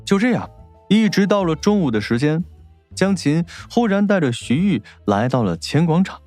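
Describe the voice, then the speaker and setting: A man narrating a scripted audiobook in an expressive storytelling manner. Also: a little high, dry recording.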